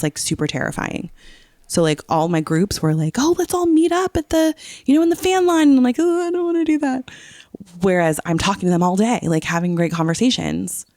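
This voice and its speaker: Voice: medium pitch, a little mellow, dry recording, clean studio sound. A podcast co-host chatting casually, explaining song lyrics and often checking that the listener follows. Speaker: teacher.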